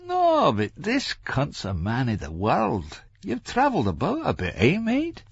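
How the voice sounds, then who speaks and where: A man's voice: on the high side, wildly swooping pitch, measured pace, gravelly, husky, dry recording, highs cut off, pitched above the performer's own voice, heavily acted. A man voicing a mischievous, leering Scottish drinker in an audiobook, conspiratorial and wheedling. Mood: teasing, mischievous.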